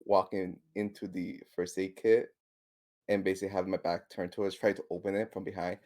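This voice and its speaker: A man's voice: deep voice, flat delivery, fairly quick, dark tone. A man chatting casually as a player in a tabletop roleplaying game, tentatively thinking aloud.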